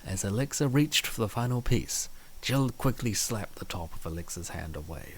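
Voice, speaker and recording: A man's voice: lowish voice, animated, moderate pace, slightly rough. A man reading scripted story narration as the narrator of a comic dub.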